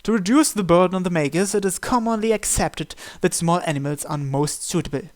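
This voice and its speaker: A man with a somewhat high voice reads first-person story narration from a visual novel aloud. He delivers it as the host of a gaming series, working through the script line by line. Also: brisk.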